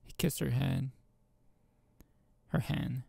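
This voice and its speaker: A man reading a visual novel aloud and commenting casually as a channel host, informal and halting, with a gravelly, husky voice. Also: mid-range voice, steady tone, mellow, no echo.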